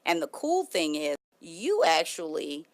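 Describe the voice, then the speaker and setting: A woman's voice: low voice, huge pitch swings, unhurried. A woman hosting a talk show, emphatic and speaking straight to her listeners. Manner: emphatic, addresses listeners directly.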